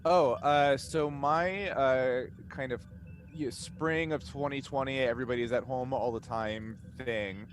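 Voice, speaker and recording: A man's voice: medium pitch, steady tone, a little slow. Casual man with noisy background. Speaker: cast member answering fan questions. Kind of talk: casual group chat.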